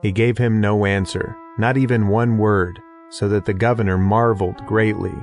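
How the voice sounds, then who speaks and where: A man with a fairly low voice reads scripture as a narrator. His delivery is scripted storytelling, and music plays clearly behind him. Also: steady tone, moderate pace, a little mellow. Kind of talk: scripted audio Bible narration.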